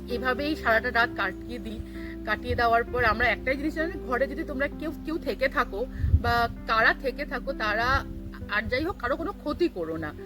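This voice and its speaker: A woman's voice: on the high side, low-quality recording. A woman tells a personal story informally, mixing in English words. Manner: mixes Bengali with English words. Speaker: guest sharing a personal ghost story. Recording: music behind the voice. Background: music.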